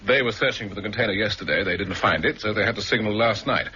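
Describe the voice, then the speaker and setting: A man playing a sharp, inquisitive investigator in a 1960s radio drama, asking probing questions and giving short, clipped replies. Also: lowish voice, fast, breathy edge, exaggerated voice. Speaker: investigator on the island. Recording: dull archive radio recording with faint hiss.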